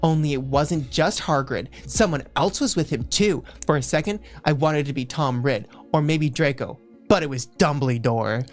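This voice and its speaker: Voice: mid-range voice, moderate pace, few pauses. A streamer giving a comic, mock-serious dramatic reading, stumbling over words and dropping in casual asides. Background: faint background music.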